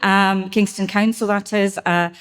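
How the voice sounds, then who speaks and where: Scottish woman giving a halting speech. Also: medium pitch, level tone, clear, resonant tone. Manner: halting, many fillers and restarts. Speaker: council member speaking at a business expo. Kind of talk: unscripted public speech.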